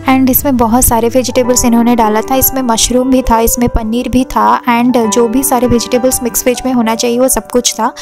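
A young woman food vlogger, chatting casually about a restaurant and its dishes, mixing English words into her talk with frequent "uh" pauses. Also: on the high side, flat delivery. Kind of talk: casual restaurant review voice-over. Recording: music playing under the voice.

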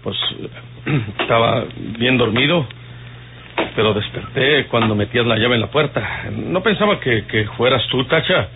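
An actor playing a wary, weary rustic outlaw in a radionovela, with a northern Mexican accent and folksy, muttering country speech. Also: male voice, medium pitch, animated, measured pace. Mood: guarded. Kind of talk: old radionovela acting. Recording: noisy, tinny old radio recording.

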